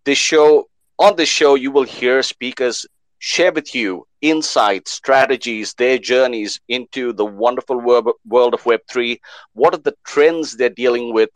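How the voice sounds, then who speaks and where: A man hosting a live online panel show, lively and fond of repeating words for emphasis. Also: medium pitch, measured pace, no echo, low-quality recording. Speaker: host.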